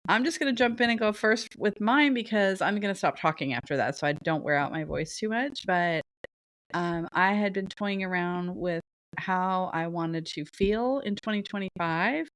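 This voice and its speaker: A woman's voice: mid-range voice, expressive, moderate pace, a bit bright, no echo. A woman co-hosting a podcast in casual chat, her voice stuffy and nasal from a sinus cold, talking in a chatty, rambling way with frequent laughs. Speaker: podcast co-host.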